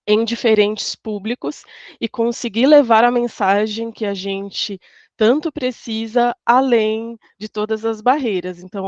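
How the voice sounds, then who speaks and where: A woman's voice: mid-range voice, no echo, dull audio. A woman speaking as a panelist over a video call, in a thoughtful way with many restarts and fillers. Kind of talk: panel talk.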